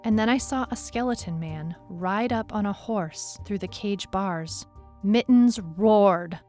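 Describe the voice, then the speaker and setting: A woman playing a tough, weary, skeptical detective who narrates her case in a measured, dramatic, reflective way. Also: mid-range voice, mellow, lightly acted. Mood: grave, suspenseful. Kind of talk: scripted first-person story narration. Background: music bed under the voice.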